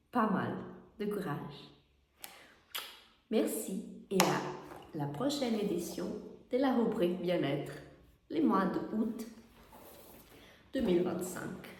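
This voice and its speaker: A woman whose first language is Portuguese, a yoga teacher gently reading her own wellness column aloud from her home and guiding the listener through breathing. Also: fairly low pitch, animated, takes it slowly, pauses often, slight background noise. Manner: gentle, guiding, with soft laughs. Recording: very echoey room.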